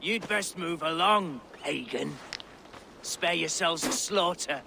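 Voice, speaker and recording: A menacing brigand warrior in a video game, scornful and hostile. His voice sits high and he drags his words out slowly, over clear watery background noise. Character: hostile, contemptuous Anglo-Saxon brigand warrior. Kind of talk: dramatic video game acting. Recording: clearly noisy behind the voice.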